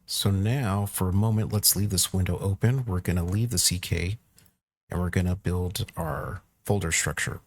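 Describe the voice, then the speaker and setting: A man giving a casual software walkthrough, thinking aloud informally as he works through each step. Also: low-pitched, steady tone, moderate pace, no echo. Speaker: tutorial presenter.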